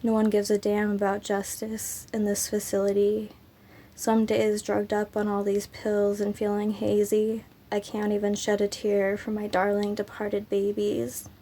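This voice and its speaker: Slow, gravelly sick woman reading poetry. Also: mid-range voice, slight background noise. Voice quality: raspy. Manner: slow, even, unhurried delivery with frequent ums. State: sick, raspy throat. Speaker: poetry channel host. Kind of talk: casual chat and reading her own poems.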